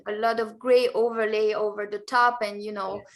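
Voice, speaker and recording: A woman from Belgium, a guest in an interview, speaking hesitantly with many pauses and restarts. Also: medium pitch, little pitch movement. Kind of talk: interview answers.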